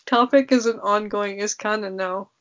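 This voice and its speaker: A woman's voice: medium pitch, fairly even intonation, moderate pace, a bit bright. A young woman hosting a casual podcast with her sister, chatty and teasing. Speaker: podcast host. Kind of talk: casual sibling podcast chat. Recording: dull lo-fi podcast audio.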